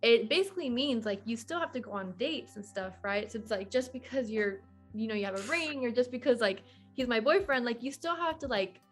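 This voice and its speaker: A woman's voice: on the high side, animated, a little fast. Young woman chatting informally over faint music. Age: 20s. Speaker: podcast guest. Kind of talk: casual conversational interview.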